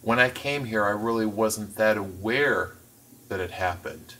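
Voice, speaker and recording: Low-voiced male interpreter, a bit distant. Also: pushed and bright. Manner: measured, careful phrasing with occasional restarts. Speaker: sign language voice interpreter. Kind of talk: voicing a signed interview.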